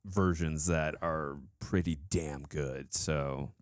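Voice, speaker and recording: A man's voice: very deep voice, steady tone, slow pace, no room sound, clean studio sound. A man hosting a reaction show, chatting casually with effusive, emphatic enthusiasm. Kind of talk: casual enthusiastic review chat.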